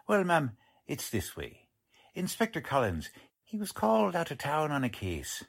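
An actor playing a plodding police sergeant who talks in folksy, ungrammatical street slang, in the style of a 1940s radio drama. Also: male voice, a little high, huge pitch swings, normal speed, vocal fry, slightly rough, full character voice. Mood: explaining. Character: blunt, plodding middle-aged male police sergeant.

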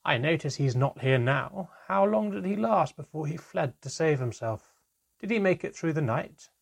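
A man voicing a cruel goblin father in an audiobook, mocking and forceful. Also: a little high, expressive, normal speed, creaky, slightly rough, voice pushed up, exaggerated voice. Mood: mocking, scornful. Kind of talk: audiobook character voice.

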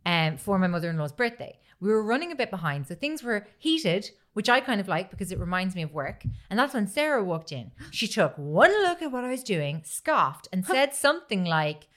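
A woman's voice: medium pitch, big pitch swings, normal speed, few pauses, fairly bright tone. A woman podcast host chatting casually and reading a story aloud, swearing freely and acting out the quoted dialogue.

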